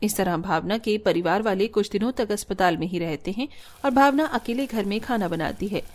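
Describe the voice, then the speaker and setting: Woman narrator, medium pitch. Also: normal speed, a little mellow. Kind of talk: scripted story narration.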